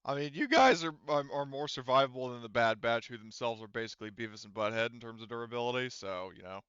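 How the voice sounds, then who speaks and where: Smooth-voiced man running a tabletop game, dull mic. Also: medium pitch, animated, fast talker, fairly bright tone, dry recording. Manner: rambling, profane, self-correcting. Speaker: game master. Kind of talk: casual tabletop game narration and rulings. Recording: slightly dull, lo-fi microphone sound.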